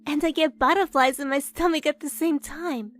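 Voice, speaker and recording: Shy, earnest young female romance lead played by a woman. High voice, sweet emotive anime-dub delivery, soft music underneath. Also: moderate pace, natural voice. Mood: remorseful, tender. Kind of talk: anime-style dub acting. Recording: music bed behind the voice.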